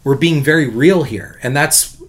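A man co-hosting a podcast in casual chat, speaking earnestly and slowly, and gently poking fun at himself. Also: on the high side. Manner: earnest, self-deprecating, slow and deliberate. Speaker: podcast co-host.

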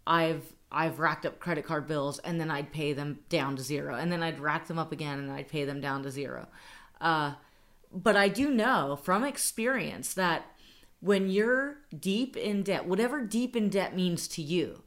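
A woman's voice: low-pitched, moderate pace, fairly bright tone. A young adult woman co-hosting a casual podcast, chatty and upbeat, laughing easily between points. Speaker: podcast co-host.

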